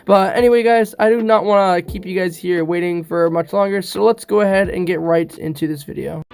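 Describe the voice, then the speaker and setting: A young man talking casually about a mobile shooter game while sick, his voice a bit rough, with lots of fillers and constant "guys". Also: high voice, a little fast, noisy background. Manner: chatty, many fillers, keeps saying "guys". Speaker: gaming youtuber. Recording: faint music behind.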